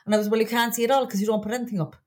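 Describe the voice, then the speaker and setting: A woman's voice: a little high, fairly even intonation, racing, a little mellow. An Irish woman with a Cork accent co-hosting a podcast in a casual, gossipy, confiding way. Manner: chatty, colloquial, confiding. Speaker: podcast co-host.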